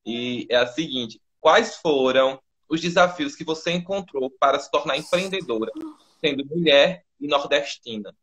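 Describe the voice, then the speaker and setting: Man asking measured interview questions. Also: a little high, fairly bright tone. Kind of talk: video call interview.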